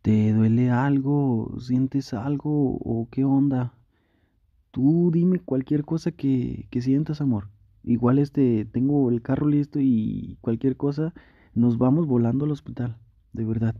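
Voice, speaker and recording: A man's voice: medium pitch, takes it slowly, dry recording, highs cut off. A man playing a doting, anxious young boyfriend in an intimate ASMR roleplay, tender and reassuring, repeating his words insistently. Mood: concerned, gentle.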